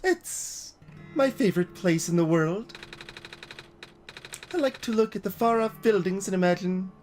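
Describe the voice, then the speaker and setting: A man voicing a small, gentle robot fox from a video game, wistful and knowing, in soft, measured explanations. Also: sits high, animated. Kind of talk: video game character dialogue read aloud. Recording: music behind the voice.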